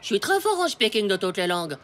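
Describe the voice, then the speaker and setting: A woman's voice: medium pitch, very animated, fast, a bit bright, a little hiss or hum. A woman voicing a brash, boastful, scheming ten-year-old boy in a French cartoon, with a cheeky, slangy, mock-confident way of talking. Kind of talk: French animated cartoon dialogue. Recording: music behind the voice. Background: cartoon music.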